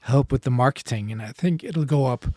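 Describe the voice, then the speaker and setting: Hoarse-ish man hosting a stream. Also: mid-range voice, brisk, dark tone, no echo. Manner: agreeable, frequent short affirmations. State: losing his voice. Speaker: livestream host. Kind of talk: casual livestream hosting.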